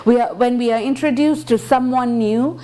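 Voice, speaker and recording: A woman's voice: on the high side, moderate pace, dark tone. A woman from Singapore with a Singaporean accent giving an explanatory, conversational public talk, earnest and fond of rhetorical questions. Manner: earnest, rhetorical questions, tag words like "right?". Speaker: guest speaker.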